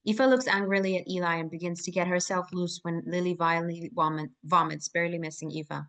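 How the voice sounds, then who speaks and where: Female narrator, slightly low voice, reading screenplay action lines and scene headings. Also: steady tone, fairly quick, a bit bright. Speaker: stage directions reader at a screenplay table read.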